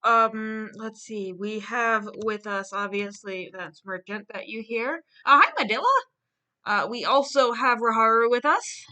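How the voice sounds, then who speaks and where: A woman's voice: fairly high pitch, a little slow, pushed and bright. A woman hosting a livestream in a casual, chatty style. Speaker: livestream host. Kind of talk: casual chat.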